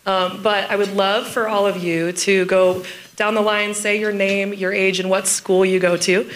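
A woman moderates a panel with a scratchy, hoarse voice. She talks in a casual, chatty, self-deprecating way. Her pitch sits in the middle range. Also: level tone, measured pace.

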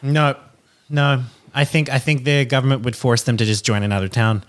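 A man chatting casually, talkative and animated, often breaking into laughter as he tells stories. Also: mid-range voice, normal speed. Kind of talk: casual chat.

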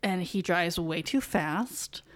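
Casual American woman in her thirties, animated storyteller. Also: fairly low pitch, slow pace. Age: 30s. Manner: animated storytelling with mock quoted voices and swearing. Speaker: podcast co-host. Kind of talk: casual chat about films and sports.

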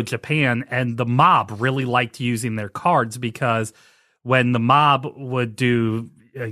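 A man's voice: mid-range voice, fairly bright tone, a little hiss or hum. A man in his forties hosting a podcast, telling a long story in a chatty, rambling way with restarts. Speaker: podcast host. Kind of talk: casual podcast chat.

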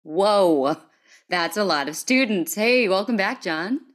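A woman's voice: medium pitch, expressive, takes it slowly. A woman hosting a podcast in a conversational interview style, with an informal, chatty manner. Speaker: podcast host.

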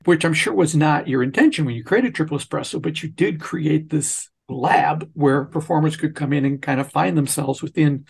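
A man's voice: on the high side, gravelly, slightly rough. A man hosting a conversational interview, linking anecdotes and putting questions to his guest. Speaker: podcast host interviewer.

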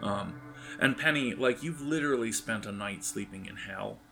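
A man narrating an improvised tabletop game as game master, in a halting, conversational way, speaking directly to his players. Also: fairly low pitch, creaky, bright, forceful tone, faint music behind.

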